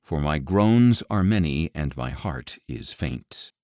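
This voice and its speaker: A man reading scripture as an audiobook narrator in a solemn, grave, measured delivery with deliberate pauses. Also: very deep voice, animated, darkish tone, no echo, studio quality.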